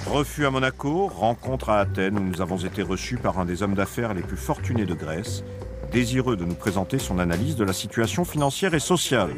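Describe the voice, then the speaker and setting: A man reading a scripted documentary narration in a measured, grave manner. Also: fairly low pitch, animated. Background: music under the voice.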